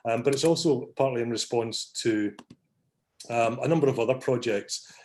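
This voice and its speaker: A man's voice: mid-range voice, normal speed, a little mellow. A man hosting an online academic meetup on a video call, talking casually with many ums and small apologies. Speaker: meetup host. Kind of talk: casual online meeting talk.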